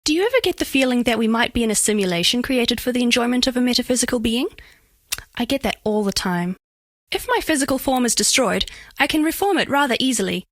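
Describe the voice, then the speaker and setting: A woman's voice: a little high, animated, fast, dry recording, clean studio sound, close to an everyday voice. A woman voicing a bashful, flirtatious female alien made of living diamond in a video game, speaking in precise, oddly formal, earnest phrases. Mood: bashful, curious. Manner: precise, stilted formal diction, earnest, coyly playful. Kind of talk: scripted game character voice lines.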